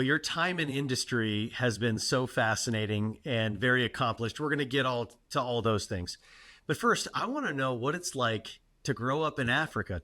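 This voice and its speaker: A man's voice: mid-range voice, a bit bright. A man hosting a podcast, giving an upbeat, polished and welcoming introduction. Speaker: podcast host.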